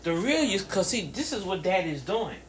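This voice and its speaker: A woman's voice: medium pitch, big pitch swings, moderate pace, slight background noise. A woman in her fifties giving a casual motivational talk, conversational and preachy, speaking straight to her listeners. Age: 50s.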